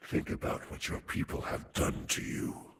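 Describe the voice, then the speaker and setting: A man's voice: moderate pace, lightly acted. A man voicing a cold, inhuman herald in a video game, issuing formal decrees to slaves in a stern, whispery, voiceless tone. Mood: stern, threatening. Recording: echoing, clearly noisy background.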